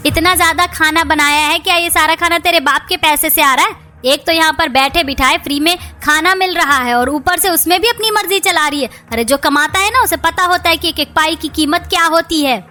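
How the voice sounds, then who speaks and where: A woman's voice: very high voice, a little fast, few pauses, bright, forceful tone. A woman voicing a stingy, domineering, middle-aged mother-in-law in a cartoon story, taunting and haranguing. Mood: angry, accusing.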